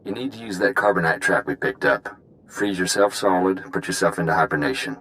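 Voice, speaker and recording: Casting: matter-of-fact break-in tech for a game. Very deep voice, calm, clipped, practical. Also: male voice, a little fast, a little hiss or hum, low-quality recording. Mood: businesslike. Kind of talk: video game voice acting.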